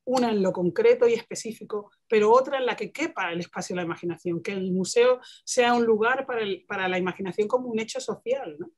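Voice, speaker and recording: A woman's voice: medium pitch, quick pace, few pauses, darkish tone. Woman on a video call, searching for words. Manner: searching for words, many restarts and English terms. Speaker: panelist, museum director. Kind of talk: panel discussion on video call. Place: home room with bookshelves.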